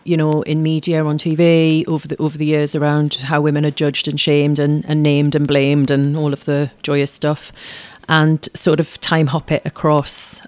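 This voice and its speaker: A woman's voice: low-pitched, a little mellow, no echo. A woman performer being interviewed, talking in a chatty, rambling way, often adding tag questions and restarting her sentences. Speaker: guest performer. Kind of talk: conversational interview.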